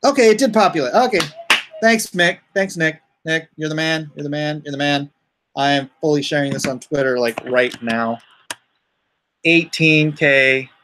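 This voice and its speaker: A man hosting a livestream in a casual, chatty way, informal and given to excited exclamations, often halting as he goes. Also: fairly high pitch, background noise. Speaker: livestream host and comic artist. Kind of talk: casual livestream chat, reacting and thanking viewers.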